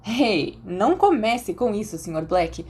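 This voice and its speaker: A woman's voice: medium pitch, sing-song, measured pace, a little mellow, breathy edge. A woman voicing a brash, fiery teenage schoolgirl in an audiobook, with a cheeky, emphatic and dramatic way of talking. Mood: indignant. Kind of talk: audiobook character acting.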